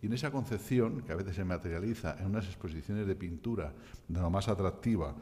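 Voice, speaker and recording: Older male presenter, low voice, anecdotal and self-deprecating; hall reverb. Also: a little fast, dark tone. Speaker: event presenter. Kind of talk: introducing a guest speaker. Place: conference hall at a panel table. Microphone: table microphone.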